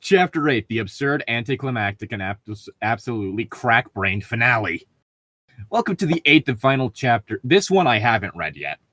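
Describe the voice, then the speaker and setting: A man's voice: mid-range voice, very animated, normal speed, bright, forceful tone, no room sound, studio quality. A man co-hosting a podcast, chatting casually and reading stories aloud. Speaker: podcast co-host.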